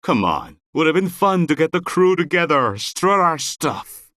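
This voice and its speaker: A man's voice: a little high, very animated, measured pace, bright, forceful tone, no room sound, studio quality, heavily acted. An actor playing a cheeky male sailor teasing a crewmate in a video game. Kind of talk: casual video game character dialogue.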